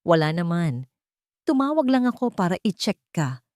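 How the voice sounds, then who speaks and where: A woman's voice: lowish voice, big pitch swings, moderate pace, a little mellow, no room sound, clean studio sound. A woman voicing a chirpy, pushy, meddling younger sister in a dramatic story. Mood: chirpy, nagging.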